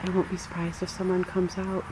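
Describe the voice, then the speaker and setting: A woman's voice: fairly low pitch, completely flat delivery, brisk. A middle-aged woman vlogging casually off the cuff, chatty and musing aloud with wonder. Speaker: rideshare driver and vlogger. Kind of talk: casual off-the-cuff vlog narration. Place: moving car with windows down, later open barn. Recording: noisy handheld camera audio with car and wind.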